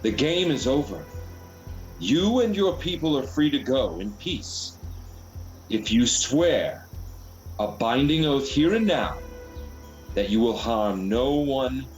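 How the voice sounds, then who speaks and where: An actor playing a noble, earnest young king in a fantasy radio play, measured and solemn. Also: mid-range voice, big pitch swings, faint music behind, low-quality recording.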